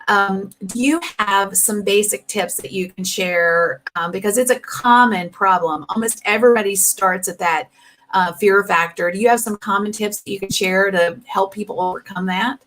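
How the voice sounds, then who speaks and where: A woman's voice: medium pitch, moderate pace, fairly bright tone. A woman, hosting an interview show, who chats freely with many fillers and asks her guest questions. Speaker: show host. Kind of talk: casual interview chat.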